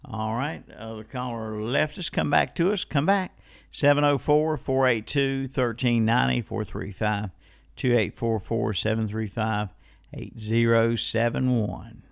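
A man's voice: mid-range voice, unhurried, vocal fry, dry recording, clean studio sound. A man hosting a live radio call-in show in a casual, folksy, rambling way, playfully repeating himself. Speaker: radio host of a call-in swap shop and music show. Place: radio studio. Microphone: close studio microphone.

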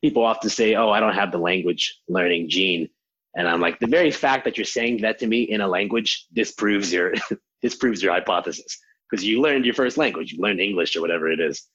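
A man, a language teacher, answering interview questions in an animated way and acting out quotes. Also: lowish voice, a little fast, husky, muffled recording. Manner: animated explainer, acts out quotes. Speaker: language teacher, podcast guest.

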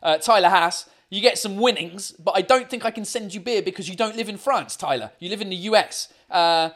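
A man co-hosting a livestream quiz, chatting casually with viewers in a loud, laughing, swearing style full of fillers. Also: high voice, brisk. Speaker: livestream co-host running a quiz.

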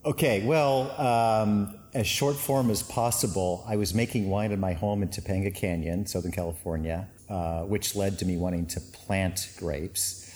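Deep-voiced man in a reverberant tank room. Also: fairly even intonation, normal speed, few pauses, a little mellow. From Canada. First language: Finnish. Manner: rambling, many fillers and restarts. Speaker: winery owner and winemaker. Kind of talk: casual interview chat. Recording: long reverb, faint ambience.

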